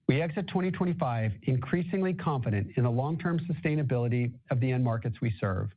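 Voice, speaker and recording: A male finance executive presents on a corporate earnings call in a businesslike register. His voice sits at a medium pitch and comes through a narrow, phone-like line. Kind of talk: scripted corporate earnings presentation, then answering questions. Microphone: conference call line.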